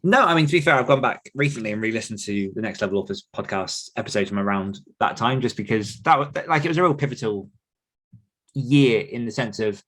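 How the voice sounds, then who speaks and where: A man's voice: mid-range voice, lively intonation, brisk, a bit bright. A man speaking as a podcast guest, giving reflective, explanatory answers in a conversational interview style. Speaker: podcast guest, author and writing coach.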